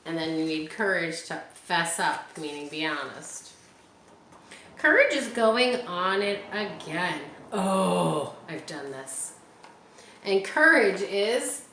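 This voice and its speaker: A woman reading a picture book aloud to children, stopping often for gentle, chatty asides. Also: fairly low pitch. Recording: echoey room with faint hiss.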